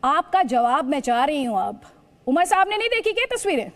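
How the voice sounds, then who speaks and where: High-pitched emphatic woman news anchor. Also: very animated, fairly quick. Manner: emphatic, repeating points. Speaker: television news debate anchor. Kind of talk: forceful news presentation with pointed rhetorical questions.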